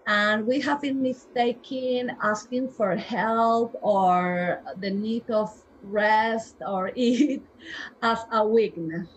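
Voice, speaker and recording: A woman's voice: on the high side, takes it slowly, a little hiss or hum, low-quality recording. A woman in her forties from Peru with a Peruvian Spanish accent, a physician guest speaking earnestly and emphatically in a podcast interview.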